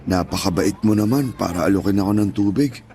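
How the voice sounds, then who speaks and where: A man's voice: low-pitched, brisk, dark tone. A man playing a humble, weary older street peddler in a radio drama, plain-spoken and grateful. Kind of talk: Tagalog radio drama acting.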